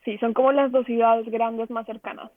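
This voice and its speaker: A woman's voice: a little high, steady tone, unhurried, dry recording. Young Colombian woman on a phone-like line. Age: young adult. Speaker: interview guest, design trainee. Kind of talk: casual spontaneous interview answers. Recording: narrow telephone-like online call.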